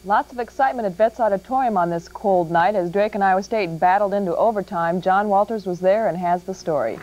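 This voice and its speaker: A woman's voice: medium pitch, brisk, a little mellow. A woman with an American accent reading sports scores as a scripted TV news anchor. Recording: muffled old TV broadcast tape.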